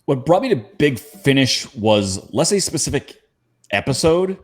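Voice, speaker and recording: A man's voice: medium pitch, very animated, a little slow. Male panelist from Canada, mid-range voice, casual anecdotal fan talk.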